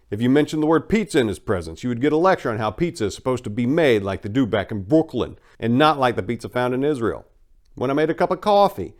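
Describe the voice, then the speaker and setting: Male memoir narrator with a fairly low voice, dramatic reading style, punching key words and letting asides fall away.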